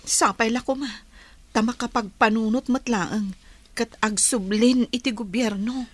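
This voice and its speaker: Woman acting gentle, high-voiced village little sister. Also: fairly even intonation, a little slow. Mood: respectful, worried. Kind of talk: Ilocano radio drama acting.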